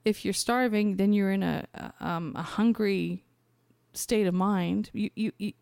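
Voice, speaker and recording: A woman's voice: mid-range voice, measured pace, gravelly, darkish tone, no echo, clean studio sound. A woman podcast host talking in a casual, rambling spiritual monologue, conversational and thinking aloud as she searches for her words.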